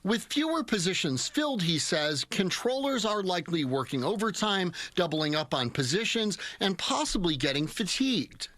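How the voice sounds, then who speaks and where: A man with an American accent reports the news in a notably high voice. The sound is dry and close, and the delivery is that of a scripted television report. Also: big pitch swings, moderate pace, hardly any pauses, fairly bright tone, dry recording.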